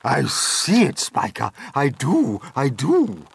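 A loud, blustering fat aunt from a storybook, pitched up high. She is excitable and exclaims in big, swooping, booming bursts. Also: takes it slowly, creaky, breathy edge, full character voice. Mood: insistent. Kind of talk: audiobook character voice.

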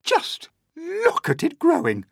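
A shrill, bossy storybook aunt played by a man, shrieking far up high and swooping wildly. She is snappish, mean and easily excited, with a dry, close sound. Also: slow pace, creaky, no echo, full character voice. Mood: amazed. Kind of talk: audiobook character voice.

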